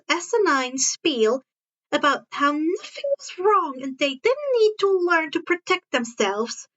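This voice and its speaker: Amateur female story narrator, high voice, occasional stumbles and rereads, slightly muffled home recording. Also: a bit bright. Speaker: fan fiction narrator.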